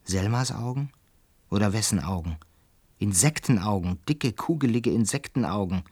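An actor in a radio play as a lonely, distraught male lodger, talking haltingly and broodingly into a cassette recorder. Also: on the low side, animated, darkish tone, husky. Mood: haunted, brooding. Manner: halting, brooding, swinging from murmurs to anguished cries. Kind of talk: dramatic radio play monologue.

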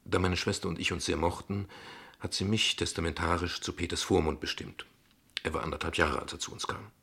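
An actor playing a guarded, ironic father in a radio play, speaking in measured, eloquent, formal sentences. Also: low-pitched, fairly even intonation, pushed and bright, breathy edge, heavily acted. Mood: explaining, measured. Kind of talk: radio play dialogue acting.

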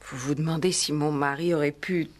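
An actress playing a grieving, dignified widow, hesitant, formal and restrained, in 1960s French radio drama style. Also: female voice, deep voice, steady tone, fairly quick, darkish tone, natural voice. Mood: sorrowful, restrained. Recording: vintage 1960s radio play recording.

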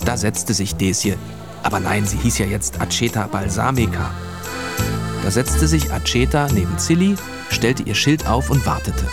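Low-voiced man narrating over music. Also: normal speed. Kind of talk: scripted children's story narration.

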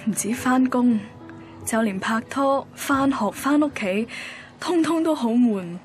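A woman's voice: a little high, lively intonation, measured pace, faint music behind. An actress playing a bored, wistful young woman from a radio drama, with a Hong Kong accent. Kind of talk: radio drama dialogue and narration.

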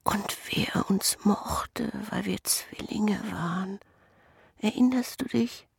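A male actor puts on an obsessive, damaged twin in an intimate radio drama. He whispers with heavy rasp and breath, slow and close in a dry sound. His manner is secretive and insistent. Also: high voice, no echo, pitched above the performer's own voice, exaggerated voice. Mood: urgent, secretive. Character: scarred, obsessive twin brother pressing buried memories.

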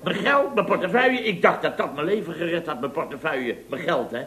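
An indignant, blustering builder boss from a vintage Dutch radio comedy. His voice sits fairly high and swings widely as he spins tall tales with theatrical exclamations. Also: animated, a little fast, fairly bright tone. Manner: theatrical, exclamatory storytelling with repeated tag words. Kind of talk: 1960s-70s Dutch radio comedy acting.

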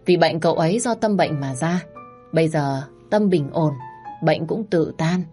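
A woman voicing a calm male doctor who speaks in a measured, reassuring way. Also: deep voice, faint music behind.

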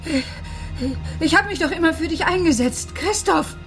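An actress playing a frightened, pleading female teacher in her forties, halting and distressed, in a radio crime play. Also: very high voice, fairly bright tone. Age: 40s. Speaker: actor in a radio crime drama. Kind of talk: German radio play acting. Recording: music clearly behind the voice.

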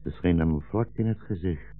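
An actor playing a weary, gentle old blind rabbi in a 1960s radio drama, speaking slowly and solemnly as a philosophical storyteller. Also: male voice, low-pitched, close to an everyday voice. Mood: sombre, reminiscing. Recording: dull, roomy 1960s radio recording.

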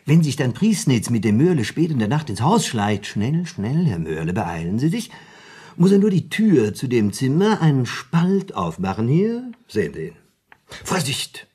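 An actor playing a shrewd, superior man who spins a crime story in a radio play, with a husky voice and a deliberate, theatrical delivery. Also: mid-range voice, sing-song, warm tone. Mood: conspiratorial, animated. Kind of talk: German radio play dialogue.

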